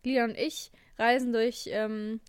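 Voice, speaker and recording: A woman's voice: a little high, level tone, a little slow, smooth, no echo. A young woman co-hosting a podcast, chatting casually with a dry, teasing humor and frequent laughter. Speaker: podcast co-host. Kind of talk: casual chat.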